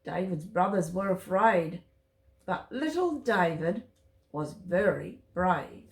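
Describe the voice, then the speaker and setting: Slow, low-pitched woman reading to children. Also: lively intonation. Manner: simple, deliberate, addressing children. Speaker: children's storyteller and host. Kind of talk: read-aloud Bible storytime for children. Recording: roomy, echoey home recording.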